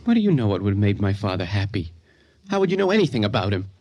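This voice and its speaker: A man's voice: fairly low pitch, very animated, quick pace, darkish tone, muffled recording. An actor playing a bitter, brooding young man with a split personality, sarcastic and confrontational, in a radio drama. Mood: bitter, defiant.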